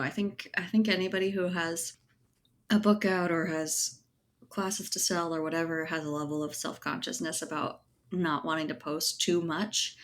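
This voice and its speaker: A woman speaking casually as an interview guest, thinking aloud and checking in with a conversational "right?" as she explains. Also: sits low. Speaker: guest, writer and editor. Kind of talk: casual podcast interview chat.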